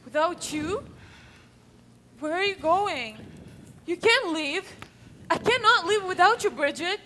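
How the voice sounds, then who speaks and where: A woman's voice: extremely high pitch, animated, takes it slowly, sharp tone, a little hiss or hum, exaggerated voice. A woman voicing a distraught, panicky young man who clings to his sister. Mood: pleading. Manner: emotional, panicky. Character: anxious, distraught young man rejected by family. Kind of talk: hobby voice drama.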